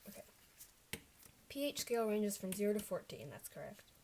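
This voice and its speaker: A young woman, a native Chinese speaker, talks at an ordinary middle pitch as she works through her study notes on a livestream. She mutters, second-guesses and corrects herself as she goes. A faint background hiss sits under her desk microphone. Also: big pitch swings, moderate pace, a little mellow. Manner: mutters to herself while checking answers, self-correcting.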